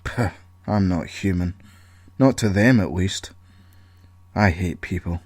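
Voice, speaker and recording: A man's voice: low voice, takes it slowly, a little mellow, no room sound, voice pushed down. Man acting a bitter, grumbling, sarcastic outcast. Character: bitter, distrustful outcast male, sulky and sarcastic. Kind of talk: audio story character acting.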